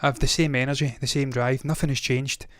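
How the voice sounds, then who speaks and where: A man's voice: mid-range voice, flat delivery, fairly quick, no room sound, studio quality. A man in his thirties hosting a movie news show, talking casually, with rambling opinionated asides. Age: 30s.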